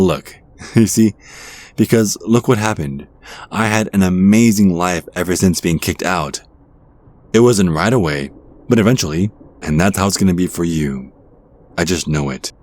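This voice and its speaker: Deep-voiced, charming devilish stranger played by a man. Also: a little mellow. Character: charming, playful, ageless devil posing as a handsome stranger. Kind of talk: ASMR roleplay acting.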